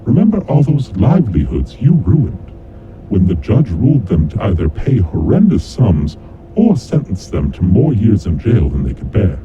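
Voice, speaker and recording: A man playing a coldly vengeful kidnapper mastermind in a horror audio drama, announcing deadly rules in a deliberate, formal, taunting way. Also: wildly swooping pitch. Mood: accusing, vengeful. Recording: dull lo-fi audio with clear rumbling noise.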